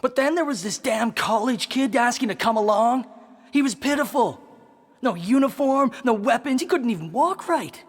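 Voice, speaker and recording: An actor playing a brash, bullying young American soldier who swaggers and goads in folksy slang in a radio drama. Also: male voice, very high-pitched, expressive, normal speed, no echo, studio quality, exaggerated voice. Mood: boastful.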